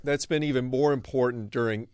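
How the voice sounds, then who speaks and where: A man hosting an interview podcast, speaking in a measured way with hesitant restarts. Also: medium pitch, fairly even intonation, a little mellow, smooth, no room sound. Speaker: podcast host; medical school dean.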